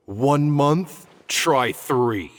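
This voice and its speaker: A man's voice: medium pitch, lively intonation, very slow, long reverb tail, a little hiss or hum. An actor playing an exasperated senior scholar in a video game, stern, sardonic and wordy as he scolds. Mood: dry, stern. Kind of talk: video game dub acting.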